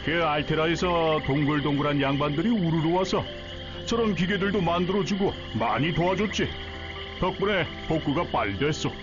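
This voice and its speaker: A man's voice: fairly high pitch, moderate pace, close to an everyday voice. A man voicing a talkative, rustic middle-aged harbor villager in a Korean game story, with familiar, blunt speech. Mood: chatty, proud. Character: chatty, folksy middle-aged male port villager. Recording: dull sound under music.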